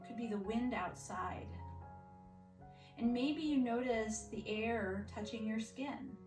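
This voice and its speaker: Echoey, higher-voiced woman guiding yoga. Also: slow pace. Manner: encouraging, reassuring, gentle step-by-step guidance. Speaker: yoga teacher, guest instructor. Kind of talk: guided children's yoga and mindfulness instruction. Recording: roomy echo, distant mic, music behind.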